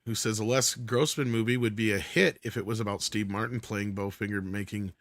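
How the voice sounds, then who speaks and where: A man's voice: on the low side, steady tone, brisk, fairly bright tone, no echo, clean studio sound. A man hosting a talk show, chatting loosely and reading out viewer comments with the odd swear word. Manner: loose and conversational, swears casually. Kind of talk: casual chat.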